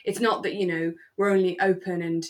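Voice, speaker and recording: A woman's voice: lowish voice, little pitch movement, fairly quick. A woman with a British accent chatting casually and reflectively as a podcast co-host. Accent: British English. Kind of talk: casual chat, discussing a radio drama.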